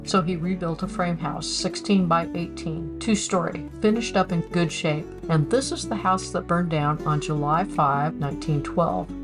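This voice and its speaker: A woman's voice: on the low side, normal speed, few pauses, over music. A woman in her sixties, host of a family history channel, reading old documents aloud with chatty, wry asides in a home office. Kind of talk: casual explanatory narration over documents.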